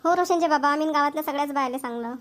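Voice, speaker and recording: A hurried village mother in a homely cartoon comedy, acted by a woman. Her voice is high and she rattles along quickly in rustic Vidarbha Marathi, pressing each point again. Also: lightly acted. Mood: satisfied. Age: middle-aged. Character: busy village mother rushing to invite neighbours to engagement. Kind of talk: amateur cartoon comedy dialogue.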